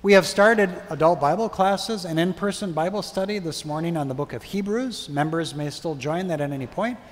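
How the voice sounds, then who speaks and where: Middle-aged man, pastor, measured liturgical reading, slightly high voice, long church reverb. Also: slight background noise. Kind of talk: liturgical prayer and blessing. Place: large brick church sanctuary.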